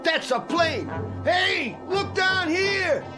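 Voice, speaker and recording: A man's voice: very high voice, lively intonation, extremely slow, heavily acted. An actor playing a cocky, greedy, streetwise crook in a radio drama, with colloquial tough-guy talk and a mocking, needling manner. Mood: excited hope. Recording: music under the voice.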